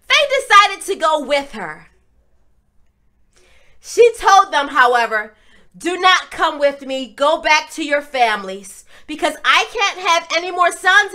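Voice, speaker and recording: Woman children's storyteller, middle-aged, bright forceful voice, unhurried, expressive delivery. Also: extremely high pitch. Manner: expressive, emphatic, deliberate phrasing. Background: music.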